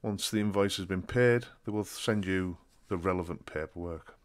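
Middle-aged vlogger, slightly low and throaty, casual walkthrough at home. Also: male voice, moderate pace. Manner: chatty, matter-of-fact walkthrough. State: throaty from a chest infection. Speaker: van life vlogger. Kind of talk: casual how-to vlog. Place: home kitchen indoors.

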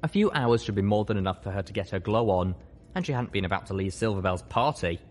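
A man's voice: lowish voice, lively intonation, fast, slight background noise. A man reading a scripted first-person story aloud as an audiobook narrator. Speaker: audiobook reader.

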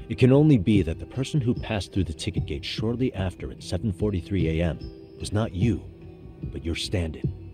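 An actor playing a composed, persistent defense lawyer in his thirties, speaking formally in a courtroom drama game. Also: sits low. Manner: measured, formal, insistent.

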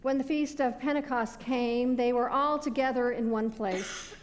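A middle-aged American woman, a pastor, giving a sermon with measured, deliberate phrasing that falls away at sentence ends. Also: on the high side. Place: indoor stone-walled church sanctuary at a pulpit. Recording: reverberant church hall with background noise.

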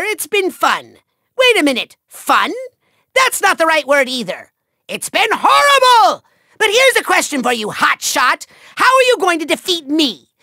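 A man's voice: sharp tone, no room sound, full character voice. Bombastic little game villain, male: piercing, gritty, taunting and petulant, recorded very dry. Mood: smug taunting, then exasperated, petulant. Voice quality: shrill, gravelly. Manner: bombastic, taunting, whiny, theatrical. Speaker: voice actor in a video game. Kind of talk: theatrical cartoon villain voice lines.

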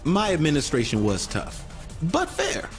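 A man's voice: a little high, huge pitch swings, a little slow, soft background music. An actor playing a self-satisfied former mayor who boasts about his tenure. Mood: proud. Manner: pompous.

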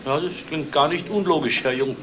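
A man's voice: fairly high pitch, moderate pace, close to an everyday voice. A man playing an easygoing, wry police detective in a German crime radio play, speaking casually. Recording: noisy radio play recording.

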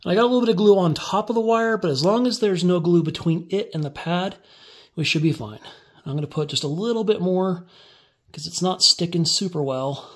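Man, slightly high voice, casual DIY tutorial, step-by-step explaining, phone mic over workbench. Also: fairly quick. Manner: relaxed step-by-step explaining while working. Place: indoor workbench.

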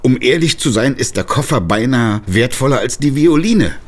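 Actor as a husky, excitable shopkeeper. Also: male voice, medium pitch, moderate pace, close to an everyday voice. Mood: indignant recounting. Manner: polite, formal, aggrieved. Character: courteous, shaken male violin repairer and shopkeeper. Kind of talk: German audio drama acting.

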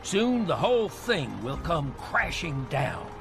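An actor playing a folksy, breezy veteran agency handler in a video game, colloquial and blustery. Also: male voice, a little high, very animated, slow, creaky, music in the background, heavily acted. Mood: breezy, scheming. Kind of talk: video game cutscene acting.

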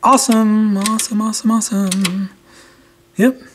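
A man's voice: high voice, moderate pace. A man giving a casual hands-on repair walkthrough, informally thinking aloud as he works. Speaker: host of a repair channel. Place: indoors at a cluttered workbench. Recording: steady clear background noise with clicks.

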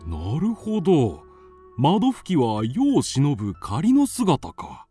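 A mellow male voice as a thoughtful, kindly animal doctor in a radio play. He is avuncular and measured, with hugely varied intonation. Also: fairly high pitch, huge pitch swings, husky, soft background music, heavily acted. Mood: musing. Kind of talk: audio drama acting.

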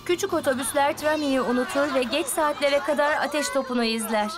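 A woman's voice: high voice, a little fast. A woman reading scripted narration for a children's cartoon story. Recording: children's music behind.

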